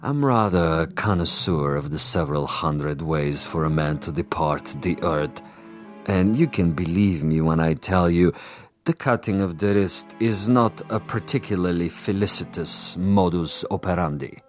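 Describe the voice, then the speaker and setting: An actor playing a suave, menacing commissar in a radio drama, urbane and mocking with elaborately formal diction. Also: male voice, low-pitched, unhurried, soft background music. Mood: smug, urbane. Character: suave, menacing male commissar interrogating a former prisoner.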